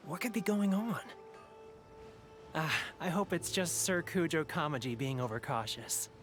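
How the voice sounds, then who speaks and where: A man's voice: a little high, a little slow, natural voice. A man voicing an anxious, fretful townsperson in a video game. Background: game music.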